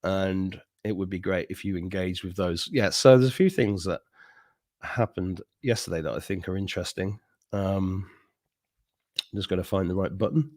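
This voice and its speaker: A man's voice: low-pitched, normal speed, darkish tone. A middle-aged man hosting a live stream, chatting casually with viewers, joking, laughing often and drifting into rambling asides. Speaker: host.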